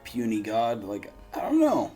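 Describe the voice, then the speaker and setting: A man's voice: medium pitch, wildly swooping pitch, unhurried, mellow, faint music behind. A man in casual reaction chat as a host, talking in a slangy, jokey, profane way.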